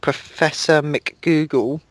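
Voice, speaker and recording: A young man giving a deadpan, mocking dramatic reading of a story, with sarcastic asides. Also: fairly high pitch, slow, no echo. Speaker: reader.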